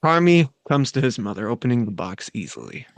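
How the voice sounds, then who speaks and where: A man's voice: medium pitch, very animated, brisk, creaky, dry recording. A man hosting a table read, moving between casual, playfully crude banter and reading out stage directions. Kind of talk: casual, joking group chat and script narration.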